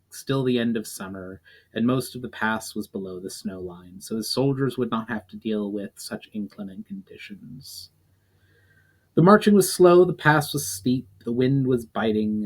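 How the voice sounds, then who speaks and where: Guy doing a dramatic read of a fantasy novel, deliberate, trips on a word now and then. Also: mid-range voice. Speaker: writer reading own novel aloud. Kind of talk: dramatic audiobook-style narration of a fantasy story.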